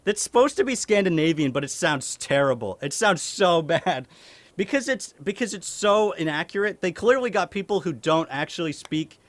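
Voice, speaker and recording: A man's voice: sits high, expressive, normal speed, no room sound. A young man with a light Maine accent, a streamer casually answering chat questions at home, chuckling often and swearing casually. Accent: light Maine (New England).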